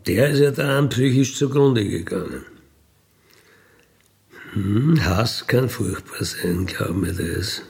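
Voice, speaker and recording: Gravelly man, blunt storyteller. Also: fairly low pitch, slow pace, long pauses. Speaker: ex-convict telling his experiences. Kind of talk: colloquial spoken monologue, recounting.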